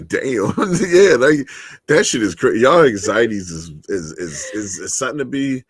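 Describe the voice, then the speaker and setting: A man's voice: medium pitch, animated, measured pace, breathy edge, no room sound, clean studio sound. A man co-hosting a podcast in casual chat, slangy and profane, joking and laughing often. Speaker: podcast co-host.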